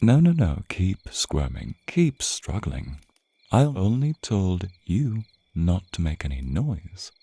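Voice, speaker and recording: Guy doing a smug vampire captor for asmr, dark breathy voice, purring and threatening, draws words out. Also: deep voice, big pitch swings, no echo, exaggerated voice. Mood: seductive, predatory. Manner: theatrical, purring, drawn-out phrasing, often near a whisper. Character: suave, menacing, teasing male vampire captor. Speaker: roleplay voice performer. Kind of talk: ASMR roleplay acting, intimate and slow.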